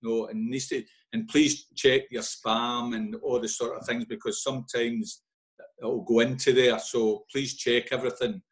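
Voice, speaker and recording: Mid-pitched enthusiastic Scottish man. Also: little pitch movement, measured pace. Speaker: club official. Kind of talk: informal update to fans. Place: video call.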